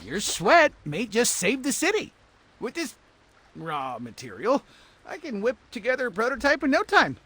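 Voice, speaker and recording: A man voicing an excitable, fussy scientist in a cartoon game, expressive and wordy, with a husky, creaky voice. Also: extremely high pitch, moderate pace, full character voice. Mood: excited. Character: excitable, fussy scientist, eager and easily appalled. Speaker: voice actor in a game cutscene. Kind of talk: animated cartoon game acting.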